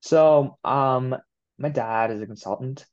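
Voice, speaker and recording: A young man in his twenties from Japan, whose first language is Japanese, answering interview questions in a relaxed way with many "you know"s and "um"s. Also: medium pitch, slow pace, dull audio. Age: 20s. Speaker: guest. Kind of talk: casual interview.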